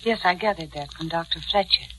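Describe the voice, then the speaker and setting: An actress playing a poised, coyly mysterious young private nurse in a 1940s radio drama, polite and composed. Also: female voice, fairly low pitch, a little fast, noisy background, natural voice. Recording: vintage 1940s radio recording, music bed.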